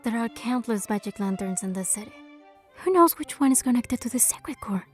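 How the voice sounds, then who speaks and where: A woman's voice: a little high, animated, a little fast, faint music behind, close to an everyday voice. A woman voicing a composed, playful young noblewoman who narrates her fantasy game adventure in a fan dub. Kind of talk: first-person scripted narration and dialogue, fan dub.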